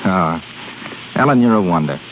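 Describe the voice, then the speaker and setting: Muffled old radio recording, breezy young go-getter, lively intonation, earnest, romantic lead. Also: male voice, deep voice, normal speed, slight background noise. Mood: lovestruck, pleading. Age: young adult. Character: eager, lovestruck young shipping entrepreneur. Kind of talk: 1930s radio acting.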